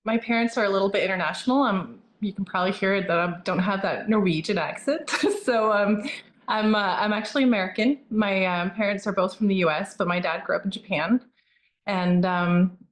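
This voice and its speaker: A woman's voice: mid-range voice, brisk, roomy sound. A woman plastic surgeon raised in Norway, talking easily as an interview guest with lots of fillers and restarts. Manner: relaxed, frequent fillers and restarts. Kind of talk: conversational interview answers.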